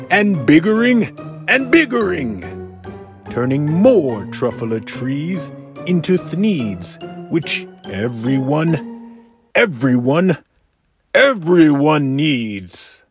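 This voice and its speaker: A man's voice: on the high side, voice pushed up, heavily acted. A man voicing an old industrialist hermit in a rhyming storybook, dramatic and emphatic, lingering on words. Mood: angry, defiant. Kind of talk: rhyming children's storybook read-aloud.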